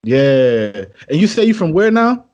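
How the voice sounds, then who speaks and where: A man's voice: a little high, very animated, a little slow, a little mellow. An American man hosting a podcast interview in casual, slangy talk, informal and given to repeating his phrases. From United States.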